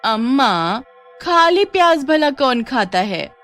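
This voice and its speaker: A woman's voice: high voice, slow pace, faint music behind, voice pushed up, full character voice. A woman playing a rustic, easily alarmed wife with an in a folktale. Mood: puzzled. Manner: exclaiming, animated. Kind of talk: dramatized Hindi horror folktale.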